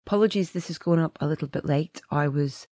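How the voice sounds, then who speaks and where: Woman, podcast host, slightly gravelly voice, low pitch, effusive chatty delivery, dry sound. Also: fast, dark tone, no room sound. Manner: chatty, effusive, with frequent ums and restarts. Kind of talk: casual podcast chat and interview.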